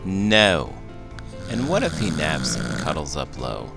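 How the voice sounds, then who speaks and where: Guy doing a cheeky talking horse for kids storytime, super gravelly n slow, really hammy. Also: extremely low pitch, wildly swooping pitch, loud music behind the voice, pitched below the performer's own voice, heavily acted. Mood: playful, teasing. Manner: theatrical, exaggerated. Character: Tango, a talking male horse, cheeky, curious storybook sidekick. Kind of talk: read-aloud children's storytelling with banter.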